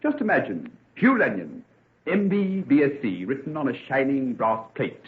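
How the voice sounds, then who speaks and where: A man's voice: medium pitch, very animated, moderate pace, lightly acted. Guy playing a sentimental chatty young medical grad in an old radio play, bouncy intonation, thin tinny audio. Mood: jovial, chatty. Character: genial, sentimental, talkative young medical graduate. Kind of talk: old-time radio drama acting.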